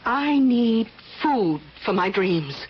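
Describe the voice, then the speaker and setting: An actress playing a wealthy, aging female recluse lost in fantasy, speaking in a halting, dreamy, theatrical way in an old-time radio drama. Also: a little high, big pitch swings, slight background noise. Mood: willful, yearning. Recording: dull, muffled vintage radio recording.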